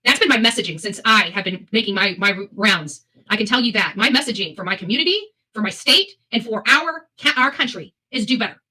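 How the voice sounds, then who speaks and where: A woman's voice: mid-range voice, animated, a little fast, hardly any pauses, pushed and bright, a little hiss or hum, low-quality recording. A woman hosting live opinion commentary, speaking bluntly and emphatically, repeating phrases for weight and swearing freely. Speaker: host of a live commentary show.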